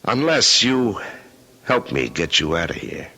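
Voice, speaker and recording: An actor playing a gruff, wry, probing private investigator with a gravelly voice in an old-time radio drama. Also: male voice, low voice, sing-song, measured pace, pushed and bright, full character voice. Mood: pressing, sardonic.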